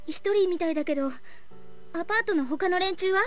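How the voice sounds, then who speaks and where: A woman's voice: extremely high pitch, fast talker. An actress playing a caring, spirited young woman in a Japanese visual novel. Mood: caring, concerned. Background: music.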